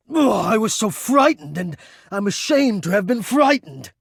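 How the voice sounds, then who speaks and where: An actor playing an anxious, lovelorn, poetic spirit of the ductwork in a video game, speaking in a theatrical, florid, halting and earnest way. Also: male voice, high voice, creaky, dry recording, clean studio sound, exaggerated voice. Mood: ashamed, frightened. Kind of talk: video game character acting.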